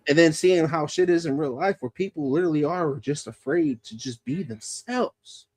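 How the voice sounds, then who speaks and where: A man's voice: a little high, moderate pace, darkish tone. A man in his thirties hosting a podcast, talking loosely and profanely and laughing often. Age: 30s. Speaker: podcast host. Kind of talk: casual podcast chat.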